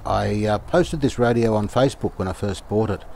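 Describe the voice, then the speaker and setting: Low, mellow man thinking aloud while working. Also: fairly quick, vocal fry, slight background noise. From Australia. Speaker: radio restorer presenting his own repair videos. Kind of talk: casual hands-on workshop narration.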